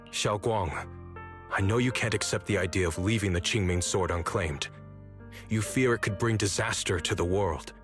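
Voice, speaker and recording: A man plays a self-sacrificing swordsman and older brother in a video game. His voice sits low, with measured, formal phrasing. Music plays faintly underneath. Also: close to an everyday voice.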